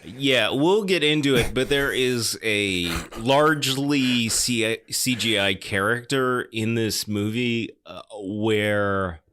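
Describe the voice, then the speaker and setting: A man's voice: medium pitch, slow pace, hardly any pauses, fairly bright tone. A man hosting a podcast, chatting casually about film in an emphatic, wryly critical way. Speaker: podcast host. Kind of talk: casual film discussion chat.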